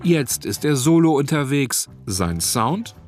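A man's voice: mid-range voice, big pitch swings, takes it slowly, darkish tone. A man narrating a scripted radio trailer. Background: guitar music.

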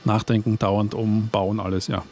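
A man's voice: lowish voice, flat delivery, moderate pace, a little mellow, slight background noise. A man talking as a guest on a panel, thinking aloud with frequent restarts and fillers as he explains his work. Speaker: guest, radio play and book author. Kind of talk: conversational panel talk. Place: at a live panel event.

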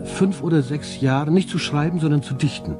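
A man's voice: a little high, brisk, music in the background. A man, a poet, reciting his own playful rhyming verse and talking about writing it, on an old archive recording. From Germany. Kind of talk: archive interview and poetry reading.

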